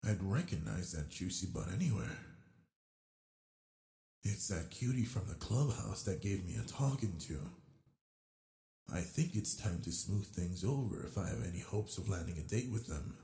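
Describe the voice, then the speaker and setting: A man performs a brawny male werewolf alpha for a romantic audio drama. The voice is very husky and low, with a gravelly creak. He is flirtatious yet gentle and protective. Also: animated, full character voice. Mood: flirty, musing. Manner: slow, soft, teasing. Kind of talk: intimate romantic audio roleplay.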